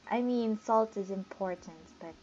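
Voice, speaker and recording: A woman streams a game with casual, thinking-aloud commentary. She talks at a slow, unhurried pace and reacts informally. The recording is noisy and a little dull. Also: mid-range voice, warm tone. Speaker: gaming streamer.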